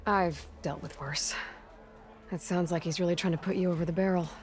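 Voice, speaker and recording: An actress playing a young, wry warrior heroine in a video game, speaking in a dry, sardonic, direct way. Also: female voice, on the low side, steady tone, a little fast, a little mellow, a little hiss or hum, natural voice. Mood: resolute. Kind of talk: video game character acting.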